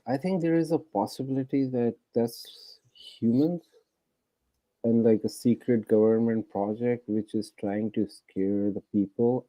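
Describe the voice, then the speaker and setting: Casual talk: accented man, very dark timbre, dry close sound, questioning and animated. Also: medium pitch, no echo, low-quality recording. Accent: non-native English. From abroad, lives in Canada. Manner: animated, questioning, many fillers. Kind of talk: casual discussion.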